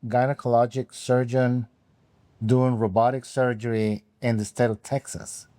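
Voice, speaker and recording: A man, an OB/GYN guest telling his career story in halting, unhurried speech full of ums. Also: medium pitch, flat delivery, mellow, dry recording. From Mexico. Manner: halting, with frequent ums and restarts. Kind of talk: conversational interview answers.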